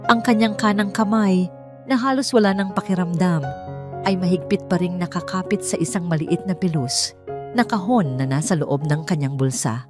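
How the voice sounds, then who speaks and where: Low-voiced Filipino woman narrating a dramatic story. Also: moderate pace, music in the background.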